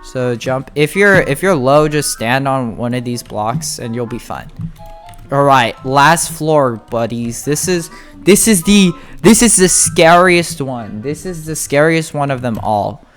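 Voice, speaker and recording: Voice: medium pitch, animated, measured pace. A gamer giving a casual, chatty video game walkthrough, talking informally and straight to viewers. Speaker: gamer making a tutorial. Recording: music playing behind the voice. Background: music.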